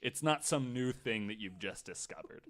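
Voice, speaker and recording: A man co-hosting a podcast, telling a story casually in a skeptical, wry, somewhat halting way. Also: medium pitch, lively intonation, fairly bright tone. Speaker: podcast co-host.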